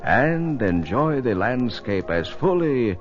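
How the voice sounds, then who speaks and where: An actor playing an earnest, wonder-struck dreamer of flight, speaking poetically in a 1950s radio drama. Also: male voice, mid-range voice, sing-song, slow pace, faint music behind. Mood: rapturous, yearning. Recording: muffled old radio recording.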